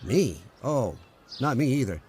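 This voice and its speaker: A man's voice: mid-range voice, big pitch swings, unhurried, darkish tone, a little hiss or hum. A man playing an easygoing, wry, folksy dreamer in an old-time radio romantic comedy. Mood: easygoing, reflective. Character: easygoing, wry male drifter dreaming of building boats.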